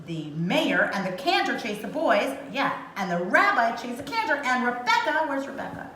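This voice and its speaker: Lady reading a kids book out loud, dramatic, bright lively voice, roomy sound. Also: a little high, normal speed. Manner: dramatic, expressive phrasing. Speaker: children's storyteller. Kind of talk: animated storybook read-aloud. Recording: echoey room with faint noise.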